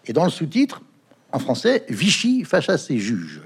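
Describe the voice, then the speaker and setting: A man's voice: on the high side, huge pitch swings, a little slow, breathy edge. A middle-aged man interviewing a guest in a formal manner, with fillers and small hesitations.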